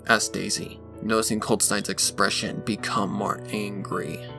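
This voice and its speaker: Male narrator for dramatic scripted fiction, lowish with heavy fry, music underneath. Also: flat delivery, measured pace. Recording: music behind the voice.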